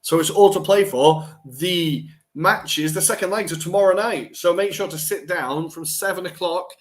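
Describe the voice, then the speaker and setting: A man's voice: sits high, normal speed. A man co-hosting a podcast, chatting casually and bluntly through a football recap and laughing easily. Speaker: podcast co-host.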